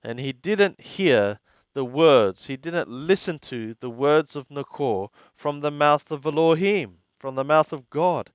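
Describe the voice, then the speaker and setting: A man reading scripture aloud and translating it phrase by phrase in a deliberate, halting manner. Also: fairly high pitch, clear, resonant tone, dry recording, highs cut off. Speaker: Bible reader and translator.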